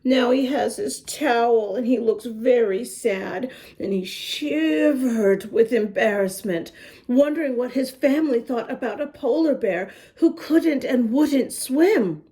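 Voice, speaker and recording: A woman's voice: a little high, takes it slowly, warm tone. A woman hosting a children's story time, reading a picture book expressively and chatting to young listeners in a sing-song way. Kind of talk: children's read-aloud with asides to young listeners.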